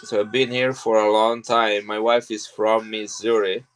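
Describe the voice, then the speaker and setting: A man's voice: on the low side, little pitch movement, normal speed, soft background music, rough recording. Chatty man selling coins. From Italy. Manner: chatty and rambling, with frequent restarts. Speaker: coin seller on a live stream. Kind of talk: casual live sales chat.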